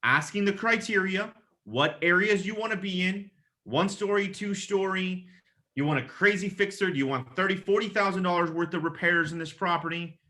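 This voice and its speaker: Bright, forceful higher-pitched man coaching. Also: normal speed. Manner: emphatic, casual, mildly profane, checks in with "okay?". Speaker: real estate agent giving advice. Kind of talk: conversational coaching with acted-out example phone calls.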